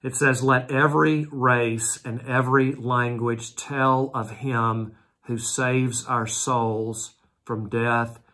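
A man in his sixties, a pastor giving a devotional Bible talk at home, earnest and slowing down to read scripture aloud, recorded close on a camera. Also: medium pitch, steady tone, bright, forceful tone. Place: room at home with patterned wallpaper. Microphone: front camera at close range.

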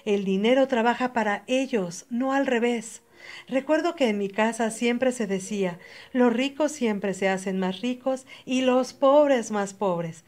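A woman's voice: fairly high pitch, measured pace, a little mellow. A young adult Mexican woman with a Mexican Spanish accent giving a direct, earnest motivational voice-over, speaking straight to the listener. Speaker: channel host.

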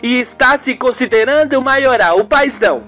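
Performer putting on an exasperated, sharp-tongued young wife. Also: high-pitched, expressive, normal speed, pitched above the performer's own voice. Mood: scornful, angry. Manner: scornful, scolding. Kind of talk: amateur radio soap acting. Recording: music bed under the voice.

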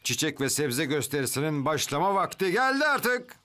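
A man's voice: a little high, sing-song, moderate pace, pushed and bright. An actor playing a fussy, proud handyman dad running a village show in a children's cartoon, self-important in manner. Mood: proud, impatient. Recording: music behind the voice.

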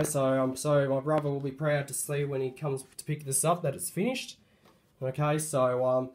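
A hoarse man gives a casual show-and-tell about a light fitting he fixed up. His voice is croaky from a cold, and he talks haltingly, full of ums and self-corrections. He sits at a mid pitch and records on a phone in a room at home. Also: darkish tone. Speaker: hobbyist showing a refurbished light.

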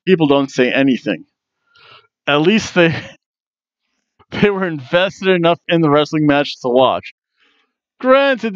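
A man's voice: on the high side, no echo, muffled recording. A man in his forties hosting a casual wrestling review from a room at home on a headset mic, chatty and jokey.

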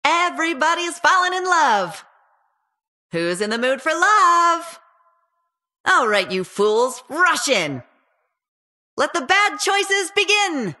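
Bright, high-voiced flirty game heroine. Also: female voice, full character voice. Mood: flirtatious. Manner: flirtatious, playful, dramatic. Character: playful, lovestruck winged female warrior hero. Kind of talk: video game hero voice lines, short combat barks.

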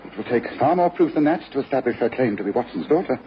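An actor playing a rational, sceptical master detective in a vintage radio drama, precise and authoritative. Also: male voice, mid-range voice, lively intonation, fast talker, natural voice. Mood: brisk, sceptical. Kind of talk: 1950s-60s radio drama acting. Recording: muffled, noisy vintage radio recording.

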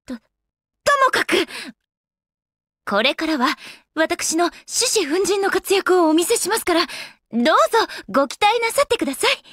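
A woman's voice: extremely high pitch, expressive, moderate pace, a bit bright, no room sound, studio quality. A woman voicing an elegant young goddess in a video game, with polite, ladylike, composed speech. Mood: flustered. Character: elegant young goddess, refined lady and avid gamer. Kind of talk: Japanese video game character voice acting.

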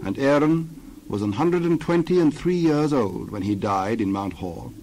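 A man reading scripture aloud as narrator, measured and reverent. His pitch sits mid-range. A faint hiss runs underneath. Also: animated, a little mellow.

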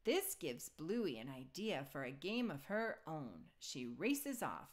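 Woman reading a kids book aloud, lowish voice, real slow and super expressive. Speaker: children's book reader. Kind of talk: expressive storybook read-aloud.

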